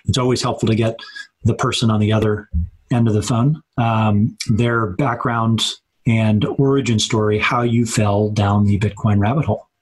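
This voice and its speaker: A man hosting an interview podcast, reading intros and putting questions in a halting way as he searches for words. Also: lowish voice, level tone, breathy edge, low-quality recording. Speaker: podcast host.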